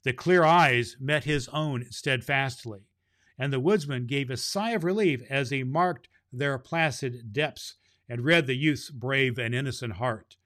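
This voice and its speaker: A man's voice: mid-range voice, unhurried, a bit bright. A man hosting a children's podcast in the role of Santa Claus, reading a storybook chapter aloud with grandfatherly chuckles.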